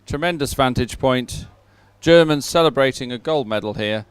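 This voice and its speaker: A man giving live sports commentary in a chatty, somewhat halting announcing style. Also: medium pitch, smooth, faint music behind.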